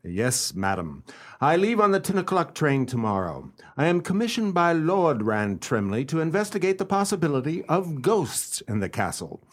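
A man playing a formal, self-assured gentleman detective in a radio play, speaking in a deliberate, theatrical way. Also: fairly high pitch, very animated. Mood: courteous, businesslike. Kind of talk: radio play acting with first-person narration.

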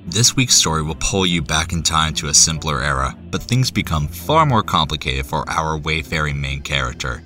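Really deep guy hosting a story podcast, forward bright tone, scripted intro, light music behind. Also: animated, moderate pace, hardly any pauses. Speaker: podcast host.

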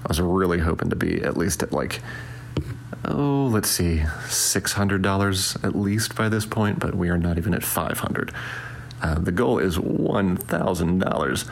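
A tired man giving sleepy, hushed updates during an all-night charity livestream at home. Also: unhurried, creaky, slight background noise. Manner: sleepy, low-volume talk. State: exhausted from staying up all night. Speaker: charity stream host. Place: quiet house at night.

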